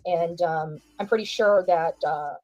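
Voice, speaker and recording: A woman's voice: lowish voice, a little slow, darkish tone, no room sound, lo-fi sound, soft background music. A woman giving informal livestream commentary, emphatic and repeating phrases for stress.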